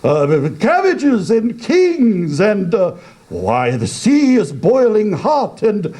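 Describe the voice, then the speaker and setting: A man voicing a pompous, sly walrus who speaks in hesitant, drawn-out oratory, in a comic poetry reading. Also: high voice, huge pitch swings, warm tone, pitched above the performer's own voice, full character voice. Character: pompous, sly walrus, falsely sympathetic, hungry for oysters.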